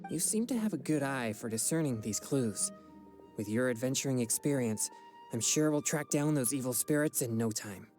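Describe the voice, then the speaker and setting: A man voices a young exorcist from a fantasy game. He speaks formally and courteously, earnest and quick to apologise, at a middling pitch. Music plays clearly behind him. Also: expressive, a little mellow, lightly acted. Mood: earnest, polite. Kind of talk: video game voice acting.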